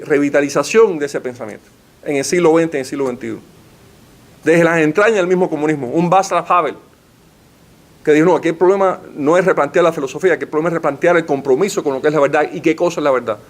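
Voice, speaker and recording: A man with a slightly raised pitch delivers a formal lecture. He asks rhetorical questions and stacks up lists to press his argument. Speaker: panelist giving a talk. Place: library meeting room.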